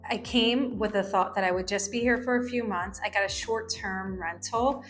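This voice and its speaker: A woman's voice: medium pitch, normal speed, a bit bright. Woman telling her story in an echoey room. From United States, grew up in New Jersey. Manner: reflective, conversational, with many fillers. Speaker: lawyer. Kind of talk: personal storytelling to camera. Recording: echoey room with faint music.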